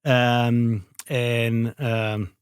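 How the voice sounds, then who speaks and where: A man's voice: medium pitch, flat delivery, very slow, clean tone, dry recording, clean studio sound. A Dutch man in his thirties, a native Dutch speaker, answering interview questions in English with a Dutch accent, hesitant and searching for words with many fillers. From Netherlands. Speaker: guest, company founder.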